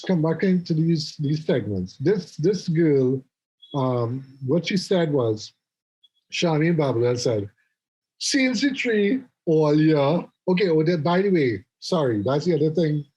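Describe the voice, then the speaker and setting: A man's voice: on the high side, big pitch swings, measured pace, darkish tone, slightly rough. A man with a Trinidadian accent, co-hosting a podcast in rambling casual chat. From Trinidad and Tobago. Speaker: podcast co-host. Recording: muffled lo-fi podcast audio.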